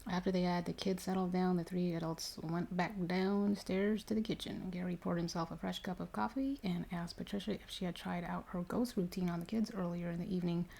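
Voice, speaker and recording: A woman reading a ghost story aloud in a hushed, measured ASMR style, with occasional stumbles. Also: lowish voice, little pitch movement, vocal fry, poor audio. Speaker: story reader.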